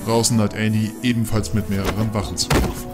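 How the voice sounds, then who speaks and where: A man's voice: fairly low pitch, level tone, fast talker, over music. A man narrating an audio drama from a script.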